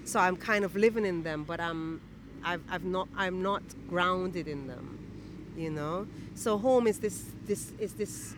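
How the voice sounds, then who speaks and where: A woman's voice: fairly low pitch, noisy background. Thoughtful woman poet with a lilt. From Guyana. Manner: thoughtful, halting, many restarts and fillers. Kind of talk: reflective interview answers. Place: outdoors near a city church.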